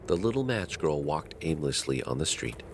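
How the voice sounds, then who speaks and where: A man with a deep, low voice narrates a fairy tale. His delivery is dramatic and richly varied in pitch, with faint ambient noise behind him. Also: very animated, moderate pace.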